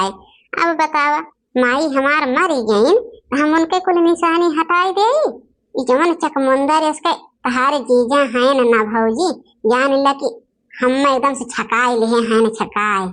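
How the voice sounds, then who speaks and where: Woman acting a high-pitched, scolding Awadhi village wife. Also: slow, a bit bright. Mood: angry, aggrieved. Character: hot-tempered village wife guarding her saris. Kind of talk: homemade comic cartoon dialogue.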